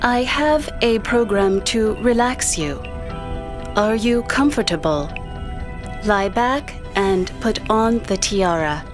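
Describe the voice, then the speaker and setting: Woman doing composed official lady, slow measured instructions over music, audio drama. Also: mid-range voice, lively intonation. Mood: soothing. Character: composed, formal female program attendant and committee official.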